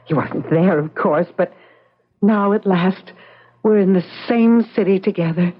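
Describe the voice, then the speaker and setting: An actress playing an anxious, distraught wife searching for her husband in a 1970s radio drama, speaking in a halting, urgent way. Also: mid-range voice, close to an everyday voice. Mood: anxious, overwrought. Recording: dull old radio recording with music.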